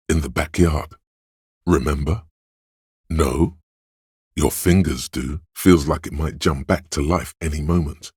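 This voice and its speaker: A man voicing a detective's sly inner sense of touch as scripted game narration, gravelly and husky, measured and insinuating. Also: a bass voice, darkish tone, no echo, studio quality, full character voice. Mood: sly, intrigued.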